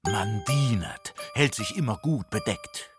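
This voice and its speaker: An actor playing a bitter misanthrope, reciting theatrical verse in a deliberate, sardonic way. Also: male voice, fairly low pitch, expressive, over music. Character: bitter male misanthrope from a classic verse comedy.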